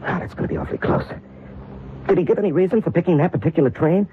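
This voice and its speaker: A tense, earnest young man talks urgently, his pitch moving widely. It is radio drama on a thin recording with faint noise. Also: wildly swooping pitch, very fast. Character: tense, determined young man racing against time. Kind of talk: old-time radio drama acting. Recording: tinny, noisy old radio recording.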